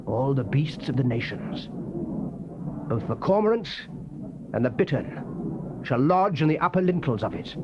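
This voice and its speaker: A man's voice: on the high side, lo-fi sound. A man with a British accent gives a solemn, theatrical, declamatory dramatized reading of the King James Bible. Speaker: dramatic scripture reader. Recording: noisy old cassette tape recording.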